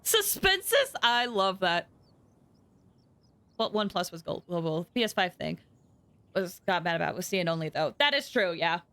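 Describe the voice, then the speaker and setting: Bright, chatty woman streamer. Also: fairly low pitch, sing-song, moderate pace, long pauses. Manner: chatty, reactive, exclamatory. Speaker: game streamer. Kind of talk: casual live game-stream commentary.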